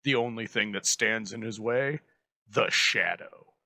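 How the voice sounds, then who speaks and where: A man hosting with formal, measured, scripted narration. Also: on the low side, gravelly, sharp tone, no echo. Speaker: host introducing radio episodes.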